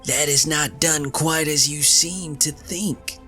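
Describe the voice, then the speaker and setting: A man doing a slow, wary wolf. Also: fairly high pitch, steady tone, bright, forceful tone, faint music behind, voice pushed up. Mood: cautious. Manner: slow, drawn-out, slightly raised. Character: wary male wolf, cautious.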